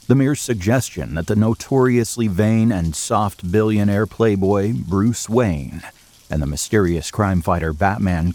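A man narrating an audio drama from a script in a measured, serious, literary manner. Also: deep voice, darkish tone.

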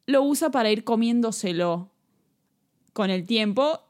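A woman's voice: on the high side, lively intonation, a little slow, a little mellow. A woman with an Argentine accent co-hosting a true-crime podcast, telling a case in casual chat, laughing often and restarting her sentences. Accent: Argentine Spanish.

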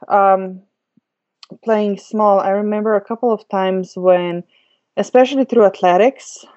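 A woman's voice: mid-range voice, a little mellow, lo-fi sound. A woman from Slovenia answering as an interview guest, chatty and reflective as she tells personal stories. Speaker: podcast guest, business coach.

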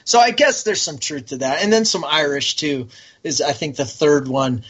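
A man's voice: fairly high pitch, normal speed, a bit bright, breathy edge. A man chatting casually as a guest, rambling and enthusiastic. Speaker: guest, military veteran. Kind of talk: casual podcast chat.

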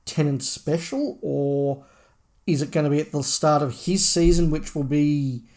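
A man's voice: fairly high pitch, unhurried, a little mellow. A man co-hosting a podcast in a casual fan discussion, rambling and thinking aloud. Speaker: podcast co-host.

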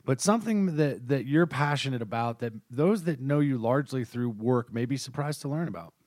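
Man, podcast interviewer, casual and talkative, average pitch, dry recording, self-interrupting phrasing. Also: expressive, fairly quick, darkish tone, dry recording. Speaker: podcast host. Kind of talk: conversational interview hosting.

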